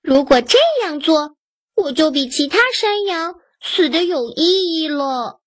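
A woman voicing a small, clever young male goat in a children's story, pleading in a timid, high voice. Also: animated, measured pace, no room sound, studio quality. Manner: timid, pleading, then cheeky.

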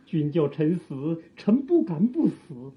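A woman acting an aging, servile, guilt-ridden palace eunuch in an audio drama, with a thin, husky voice and halting, sighing, theatrical delivery. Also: sits low, big pitch swings, lo-fi sound, exaggerated voice. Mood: submissive, resigned.

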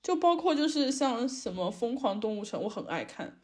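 Young woman with lively, swooping intonation. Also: on the high side, moderate pace. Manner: emphatic, repeats words for stress. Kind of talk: casual podcast chat among friends.

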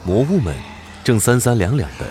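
An actor playing the storyteller of a fantasy tale, in dramatic scripted narration. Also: male voice, medium pitch, very animated, normal speed, background noise, close to an everyday voice. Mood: scene-setting.

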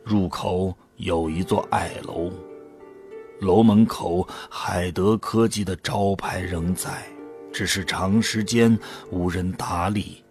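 A soldier narrating a science-fiction radio play. His voice is lowish, measured and sombre, with soft music underneath. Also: steady tone. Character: sombre first-person soldier narrator of a sci-fi story. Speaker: narrator and protagonist. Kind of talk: scripted radio drama narration.

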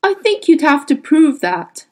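A woman voicing a smooth, calm, powerful wealthy gentleman collector, courteous but with a cold edge, in a storybook reading. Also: sits high, a little slow, voice pushed up. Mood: coolly righteous.

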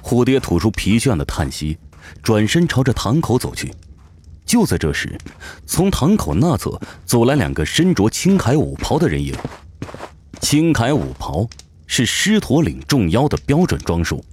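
A man narrating an audio drama in an expressive, theatrical storytelling style, reading from a script. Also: medium pitch, moderate pace, a little hiss or hum.

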